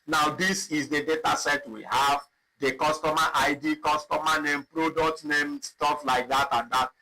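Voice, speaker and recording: A man teaching a live online spreadsheet lesson, talking slowly and repeating himself as he clicks through data. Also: a little high, steady tone, bright, forceful tone, poor audio. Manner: slow, repetitive, thinking aloud while clicking. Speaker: instructor. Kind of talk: live online software tutorial.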